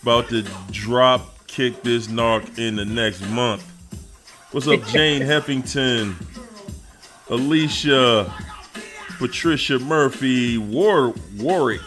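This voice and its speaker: A man's voice: medium pitch, takes it slowly, fairly bright tone, soft background music. A man hosting a casual livestream, greeting viewers by name in a playful, hyped-up way and singing little snippets. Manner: playful, hypes up chat, sings snippets and laughs. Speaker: livestream host.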